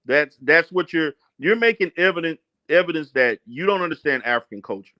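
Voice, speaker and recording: Man, lively pitch swings, insistent, challenging, repetitive, debate, muffled lo-fi audio. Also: fairly quick. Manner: insistent, challenging, repeats himself. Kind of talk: heated informal debate.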